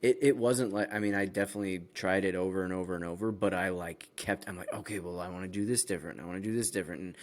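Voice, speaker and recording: A man's voice: low voice, fast talker, few pauses. A man co-hosting a casual gaming podcast, speaking earnestly and repeating phrases for emphasis. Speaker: podcast co-host.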